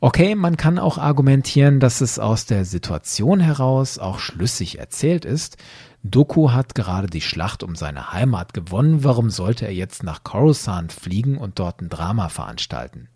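Animated male podcast host, slightly husky. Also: mid-range voice, unhurried, dry recording, studio quality. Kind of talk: scripted commentary.

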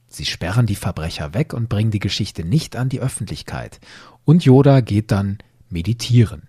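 A man's voice: lowish voice, lively intonation, moderate pace, warm tone, slightly rough, dry recording, clean studio sound. A man hosting a podcast, giving scripted, explanatory narration and commentary with rhetorical questions. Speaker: podcast host.

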